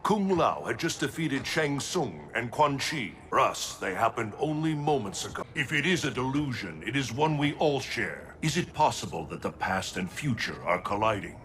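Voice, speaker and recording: An actor playing a solemn, noble immortal thunder god in a video game, speaking in grave, formal phrases. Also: male voice, medium pitch, very animated, normal speed, few pauses, a bit bright, slight background noise. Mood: grave, measured. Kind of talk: video game cutscene acting.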